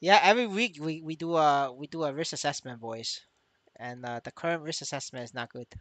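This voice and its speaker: Male gaming streamer, bright sharp tone, dry recording, stammering and repetitive, casual and sweary. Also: on the high side, fairly quick, no room sound. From Vietnamese. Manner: stammering, repeats words, swears freely. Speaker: game streamer. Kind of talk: casual livestream chat.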